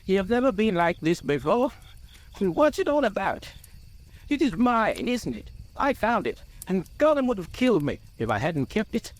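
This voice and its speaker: A man's voice: high voice, big pitch swings, normal speed, pitched above the performer's own voice. A man voicing a stubborn, suspicious hobbit in a storybook, with a stammering, defensive, insistent manner. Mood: shaken, defensive. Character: stubborn, suspicious hobbit clinging to his treasure.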